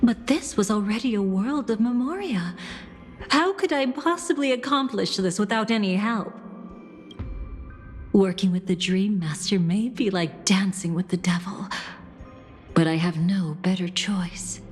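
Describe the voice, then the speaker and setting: A seductive game villainess played by a woman speaks slowly in a teasing, theatrical way. She is capricious and mocking, and relishes destruction. Her voice carries a long reverb, with music playing behind it. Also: medium pitch, close to an everyday voice. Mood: sinister resolve. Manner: teasing, theatrical, drawn-out phrasing. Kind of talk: dramatic video game voice acting.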